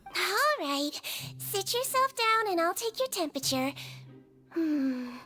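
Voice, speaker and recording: A woman playing a small, kind, quietly mischievous nurse creature in a video game, with a girlish voice and gentle bedside manner. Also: extremely high pitch, sing-song, takes it slowly, heavily acted. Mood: matter-of-fact. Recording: game music behind the voice.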